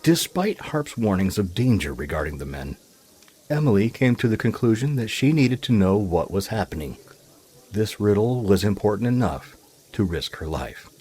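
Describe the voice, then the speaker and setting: A male narrator tells scripted fiction in formal, literary phrasing. His voice is low and husky, with very animated intonation. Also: moderate pace.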